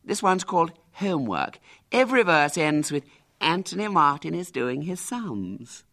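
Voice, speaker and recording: A spoiled, imperious little boy who talks down to everyone, as a male reader puts him on. His pitch swings widely, with a gravelly edge underneath, and every line sounds self-important. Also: big pitch swings, a little slow, bright, forceful tone, full character voice. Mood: boastful.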